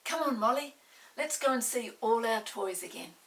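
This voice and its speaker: A woman's voice: fairly high pitch, expressive, normal speed, fairly bright tone. A woman voicing a keen, polite schoolboy in a children's storybook, earnest and emphatic. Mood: eager. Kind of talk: children's storybook character reading. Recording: distant mic with faint hiss.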